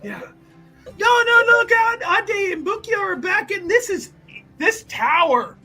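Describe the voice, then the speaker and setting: A woman's voice: very high voice, animated, a little slow, bright, forceful tone, faint music behind. A woman playing a tabletop roleplaying game, teasing and playful, narrating her character and joking with the others. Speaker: player.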